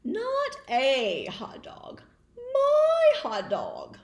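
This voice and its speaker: A woman voicing a possessive, excitable pigeon from a picture book, dramatic, drawn-out and easily exasperated. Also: extremely high pitch, roomy sound, pitched above the performer's own voice, exaggerated voice. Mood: indignant. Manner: dramatic, drawn-out, exclaiming delivery. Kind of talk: picture book read aloud.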